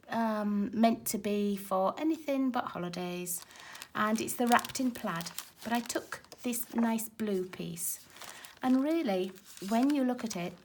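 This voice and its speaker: A woman with a raspy, hoarse voice from a cold, chatting casually as she demonstrates card making at her craft table. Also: a little high, a little hiss or hum. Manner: chatty and explanatory, talking while working with her hands. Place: craft table at home, filmed from overhead.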